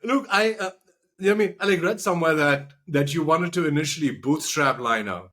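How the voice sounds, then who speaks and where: A man's voice: fairly high pitch, expressive, normal speed, fairly bright tone. A man speaking as a podcast host, interviewing a guest in an informal, affirming, conversational way.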